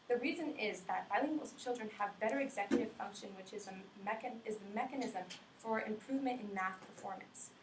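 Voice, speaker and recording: A woman's voice: fairly high pitch, brisk, hardly any pauses. A young woman giving an informative class speech in earnest, often restarting and correcting herself, recorded from a distance in a classroom. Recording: roomy classroom audio from a distance with faint noise.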